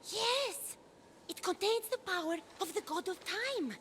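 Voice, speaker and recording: A woman's voice: extremely high pitch, expressive, a little slow, fairly bright tone, slight background noise, full character voice. A woman voicing a small, angry, bossy child goddess in a video game, feisty and imperious, with music behind her. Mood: commanding. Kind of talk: animated video game voice acting.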